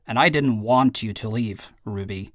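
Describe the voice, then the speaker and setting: A man playing a guilty, devoted brother and werewolf clan second in an audio roleplay drama, earnest and halting as he speaks. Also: lowish voice, a bit bright, no room sound.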